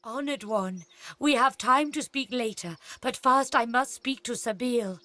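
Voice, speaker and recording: A woman's voice: a little high, a little slow, bright, forceful tone, no echo. A woman playing a solemn elf woman in a fantasy game, speaking in grave, formal, stilted phrases. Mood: solemn, yearning. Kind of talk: video game character acting. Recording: music behind the voice.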